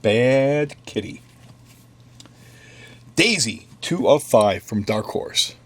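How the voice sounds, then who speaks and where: A relaxed man runs through a stack of new comics in his shop, talking slowly and dropping offhand jokes as he goes. His voice sits at an ordinary middle pitch, and the delivery is unhurried chat, not a performance. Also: slightly rough. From United States. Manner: offhand, joking asides while flipping through comics. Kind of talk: casual product run-through. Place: comic book store.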